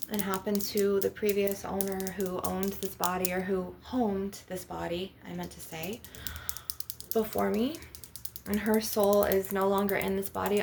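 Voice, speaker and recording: Woman doing asmr spiritual healer talk, creaky fry in her voice, earnest and reassuring, faint noise w little clicks. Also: medium pitch, darkish tone. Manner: earnest, affirming, instructive. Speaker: spiritual healer and channel host. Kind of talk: ASMR spiritual healing session, addressing the viewer.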